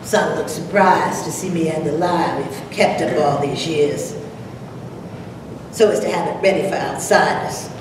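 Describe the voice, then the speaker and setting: A woman's voice: low-pitched, steady tone, a little slow. Woman acting haunted old farm widow, echoey hall. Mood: bitter confession. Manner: theatrical, storytelling. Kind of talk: dramatic poetry reading. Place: large lecture room, at a podium. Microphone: distant camera microphone. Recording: echoey hall from far, noisy.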